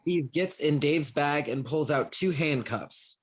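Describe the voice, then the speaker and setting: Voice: mid-range voice, a little slow, low-quality recording. A narrator reading stage directions in a plain scripted style for a play.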